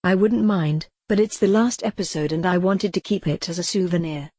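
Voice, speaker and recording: A synthetic female text-to-speech voice playing a calm, wry retro computer who thinks she's a cat, deadpan in an audio comedy drama. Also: fairly low pitch, moderate pace, no room sound, studio quality, lightly acted.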